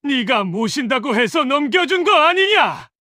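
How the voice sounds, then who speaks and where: A man voicing a betrayed father in his seventies, shouting indignantly in an audio drama. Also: extremely high pitch, big pitch swings, measured pace, no room sound, studio quality, full character voice. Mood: outraged.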